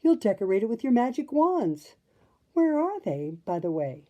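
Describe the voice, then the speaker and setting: Woman voicing a happy young fairy-tale princess, high-lifted voice. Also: very animated, normal speed, muffled recording. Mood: cheerful. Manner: sweet, delighted. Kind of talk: storybook character voice.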